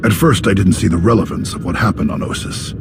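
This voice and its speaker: A man voicing a grave, authoritative Jedi master in a video game, speaking in a measured, formal way with a gravelly voice. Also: low-pitched, over music. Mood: grave, troubled. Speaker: Jedi master leading a meeting. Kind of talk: video game dramatic acting.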